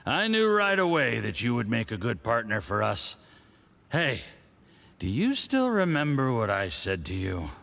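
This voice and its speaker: An actor playing a smug, scheming foreign diplomat with a patronizing, mocking manner. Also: male voice, medium pitch, wildly swooping pitch, unhurried, gravelly, fairly bright tone, full character voice.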